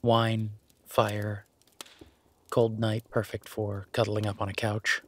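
Husky, low-voiced hesitant boyfriend played by a man. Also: monotone, slow. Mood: bashful, affectionate. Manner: hesitant, soft-spoken, gently teasing. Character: gentlemanly, shy romantic werewolf boyfriend, adult man. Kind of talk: intimate romantic audio roleplay.

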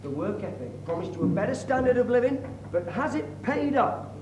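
Rich: A young man doing an earnest, preachy son, keen to lecture, in a high voice. He sounds far off in an echoey space, with clear noise around him. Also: very animated, normal speed. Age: young adult. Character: idealistic young man lecturing his father. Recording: distant, echoey, noisy.

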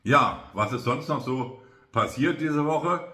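A man in his fifties giving political commentary to camera in short, measured phrases, recorded indoors in a bare room. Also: mid-range voice, pushed and bright. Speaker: politician hosting a weekly video review. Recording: roomy indoor echo.

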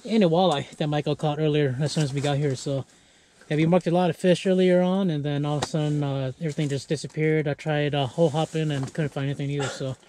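Guy hosting a fishing vlog, kinda high voice, easygoing, some noise and clicks behind him. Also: steady tone, quick pace, dark tone. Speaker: fishing channel host. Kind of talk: casual outdoor vlog chat. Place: frozen lake and ice fishing tent.

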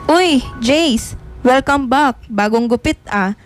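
A woman's voice: high voice, lively intonation, slow pace. A woman playing a chatty teenage classmate in a radio drama. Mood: teasing. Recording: music bed behind the voice.

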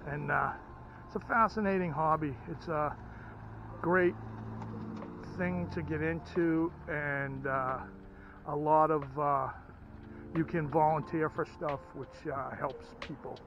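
A middle-aged American man giving casual, unhurried and enthusiastic vlog narration as a radio hobbyist. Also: on the high side, steady tone, background noise. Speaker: vlogger and ham radio hobbyist. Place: outdoors at a fairground. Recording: muffled outdoor camera audio.

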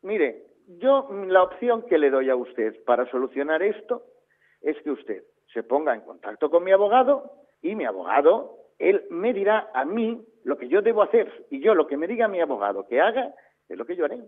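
A man's voice: a little high, sing-song, normal speed. A man speaking politely but firmly and formally in a phone conversation, over a narrow phone line. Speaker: prank call victim.

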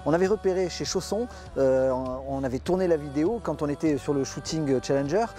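An older man hosts a camper van vlog outdoors, his fairly high voice picked up by a lapel mic. He talks chattily with many pauses, and a soft music bed plays under him. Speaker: camper van channel host. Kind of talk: casual vlog presenting and interviewing. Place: outdoors by a motorhome at a trade show car park.

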